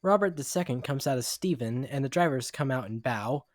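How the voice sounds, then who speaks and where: A man's voice: medium pitch, animated, fairly quick, no room sound, clean studio sound. A man narrating a scripted children's adventure story as its storyteller.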